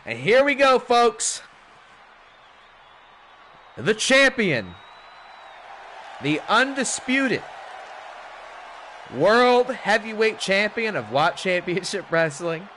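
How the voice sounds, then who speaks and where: A hoarse man commentating a wrestling video game on a stream, with animated, chatty hype. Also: high-pitched, very slow, long pauses, a little hiss or hum. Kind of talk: play-by-play commentary.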